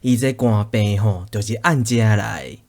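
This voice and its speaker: 一位男演員飾演只顧賺錢、不屑一顧的農家兒子，操台語口音。. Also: male voice, on the low side, measured pace, a little mellow. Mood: scornful. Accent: Taiwanese Hokkien. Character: farmer's son, greedy, dismissive. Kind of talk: radio drama acting.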